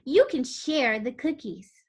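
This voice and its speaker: A woman's voice: sits high, animated, slow, a little mellow, slightly rough, heavily acted. A woman voicing a cheerful, generous mother in a children's storybook, with a sing-song, welcoming manner. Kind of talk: children's storybook read-aloud.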